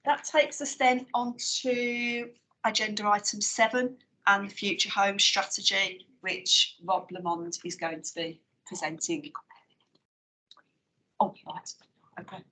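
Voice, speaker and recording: A woman with a West Midlands English accent chairing a formal committee meeting, working through the agenda in a measured, procedural way with frequent fillers. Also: medium pitch, steady tone, pushed and bright, poor audio.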